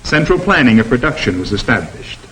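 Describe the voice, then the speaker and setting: A man narrating a 1950s documentary film in a measured, emphatic delivery. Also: medium pitch, big pitch swings, fairly bright tone, slight background noise, rough recording.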